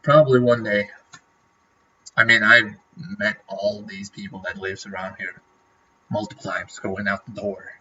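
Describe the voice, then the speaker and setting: A man's voice: fairly low pitch, level tone, measured pace, pushed and bright, highs cut off, slight background noise. A man from Norway, a native Norwegian speaker, chatting casually on a livestream and rambling with lots of fillers and restarts. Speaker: streamer.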